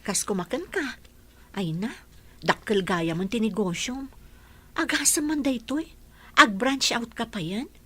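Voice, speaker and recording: A woman's voice: a little high, animated, unhurried, pauses often, fairly bright tone, slightly rough, exaggerated voice. A woman with an Ilocano accent playing a chatty, envious village woman in a radio drama.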